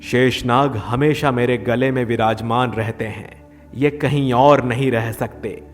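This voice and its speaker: Guy playing a calm majestic god, formal and stately, medium pitch, light music behind. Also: a little slow, close to an everyday voice. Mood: calm, firm. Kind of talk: devotional story dramatization.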